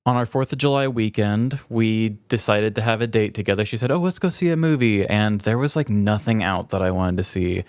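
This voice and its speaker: A man's voice: fairly low pitch, fairly quick, few pauses, no echo. A man co-hosting a podcast in casual, comedic chat, telling self-deprecating stories with some casual swearing. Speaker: podcast co-host.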